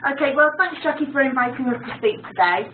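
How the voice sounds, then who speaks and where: A woman's voice: high voice, expressive, fast talker. An upbeat British woman introduces herself in a chatty webinar talk, dotted with ums. Her voice rings in a roomy space and comes through a thin, phone-like line with faint hiss. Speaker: clinical research physiotherapist. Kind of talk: webinar presentation. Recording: echoey room over a phone-like line.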